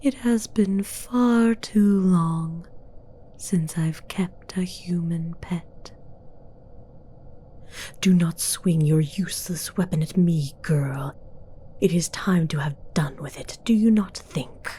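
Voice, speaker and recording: A woman playing a seductive, domineering demoness in a breathy, mostly whispered ASMR fantasy roleplay, commanding and coaxing in formal, archaic phrasing. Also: lowish voice, takes it slowly, dark tone. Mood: commanding, possessive.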